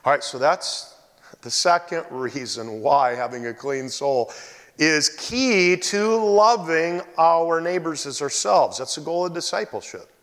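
An animated male pastor preaching in a church sanctuary, his pitch rising and falling with lively expression. His voice carries a long hall reverb, and now and then he lets out big loud calls. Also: a little slow. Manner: animated storytelling, loud shouted exclamations. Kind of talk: sermon with personal story.

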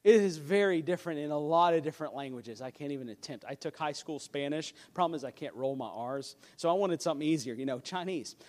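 A man's voice: a little high, quick pace, hardly any pauses, darkish tone. A man preaching a sermon as pastor, earnest and emphatic, with a self-deprecating touch. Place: church sanctuary. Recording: long hall reverb.

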